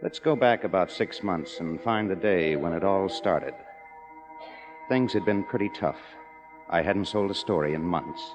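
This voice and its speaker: Very deep, world-weary noir screenwriter. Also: male voice. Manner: wry, world-weary, hard-boiled. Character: cynical, down-on-his-luck male screenwriter narrating a murder. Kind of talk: 1950s radio noir narration. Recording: dull vintage radio recording, music behind.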